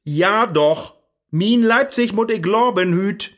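A man's voice: sits high, lively intonation, slow pace. An actor playing a weary, grumbling old male scholar who craves youth and fun, impatient in tone, in a rhymed radio play.

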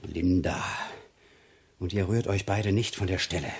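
A man playing a smooth swindler in a German crime radio play, cornered and incredulous. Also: low voice, measured pace, darkish tone, full character voice. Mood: commanding, cold.

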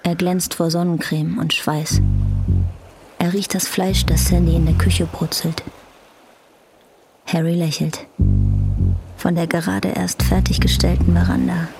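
A woman's voice: on the low side, level tone, a little slow, creaky, long pauses, darkish tone. A woman reading scripted literary narration as the narrator of a radio drama. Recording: faint music behind.

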